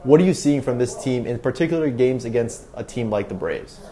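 A man's voice: mid-range voice, lively intonation, a little fast, dark tone. A man hosting a casual sports podcast interview, speaking into a small microphone hooked up to a phone. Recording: noticeable background noise and ambience.

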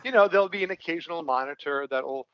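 A man's voice: on the high side, quick pace, fairly bright tone, no echo, lo-fi sound. A man from Brooklyn with a Brooklyn accent, a company co-founder explaining his work in a casual interview, full of fillers and restarts. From Brooklyn, New York. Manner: rambling, lots of fillers and restarts.

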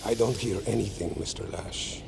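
An actor playing a dangerous bodyguard to a sorcerer in an audio drama, wry and insinuating, with a gravelly voice. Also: male voice, lowish voice, moderate pace. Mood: dark fascination. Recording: noisy ambience behind.

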